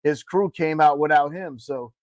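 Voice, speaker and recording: Man, podcast co-host, slightly high voice, brash, profane, slang-heavy, casual chat, dry sound. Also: moderate pace, darkish tone, no room sound. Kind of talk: casual profane chat about pro wrestling.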